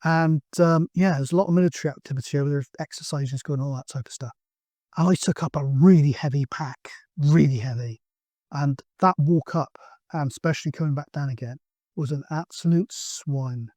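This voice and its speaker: A man's voice: on the high side, moderate pace, dark tone, dry recording. A middle-aged man, giving a casual, rambling vlog introduction in a chatty, anecdotal, colloquial way. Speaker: video host, radio hobbyist.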